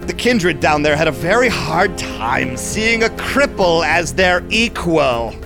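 Man playing scheming male vampire businessman, high-pitched, unhurried, sly and self-assured, audio drama, faint music behind. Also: big pitch swings, creaky, heavily acted. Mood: sly, confiding. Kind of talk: audio drama acting and first-person narration.

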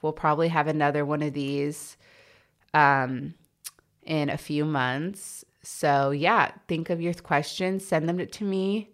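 Podcast host, woman who stutters, low voice, slow and candid. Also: fairly even intonation. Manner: stutters, with blocks and repeated sounds; candid and reflective, with many fillers. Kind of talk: casual solo podcast answering listener questions.

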